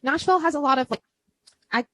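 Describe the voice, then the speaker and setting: Here a young American woman carries the conversation in a fairly high, bright register and a big voice. She chats loosely over drinks, filling her sentences with "like" and laughing easily, in the relaxed manner of a podcast host. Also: fast, low-quality recording. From United States. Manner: chatty, laughs often, lots of "like". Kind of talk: casual chat over drinks.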